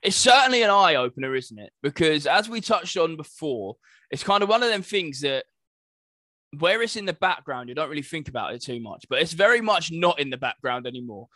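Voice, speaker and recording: A British man chats casually as a podcast co-host. His voice is bright and forceful, sitting a little high for a man. The recording is close and very dry. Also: very animated, fairly quick, no room sound. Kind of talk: casual chat about gaming news.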